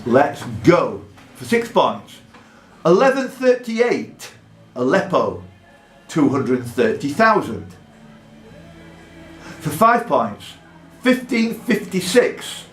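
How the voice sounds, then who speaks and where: A man working as a quiz master, reading buzzer questions aloud in a deliberate way and announcing point values between clues. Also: on the high side, sing-song, faint music behind. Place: pub room with contestants. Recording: echoey room with faint background noise.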